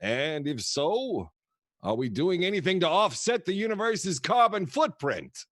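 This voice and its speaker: A man's voice: sits high, very animated, unhurried, dry recording, clean studio sound, exaggerated voice. An actor playing a kooky, affectionate old grandfather who rambles and mutters theatrically in a comic play. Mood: musing. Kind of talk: comic stage monologue and dialogue.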